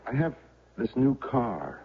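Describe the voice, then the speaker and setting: An actor playing a self-pitying accused man in a radio drama, speaking in a halting, stammering, troubled way. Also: medium pitch, big pitch swings, creaky, slightly rough, exaggerated voice. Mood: anxious, defensive. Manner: halting, stammering, agitated then dejected. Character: defeated, self-pitying accused man, a failed small-town bookseller. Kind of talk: 1970s radio drama acting. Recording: tinny, band-limited old radio recording.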